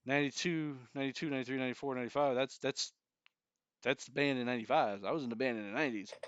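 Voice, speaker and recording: A man's voice: mid-range voice, fairly even intonation, rapid-fire, a bit bright, clean tone, no room sound, dull audio. A man in his forties co-hosting a podcast, chatting casually with a joking, profane manner. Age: 40s. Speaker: podcast co-host. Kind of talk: casual chat.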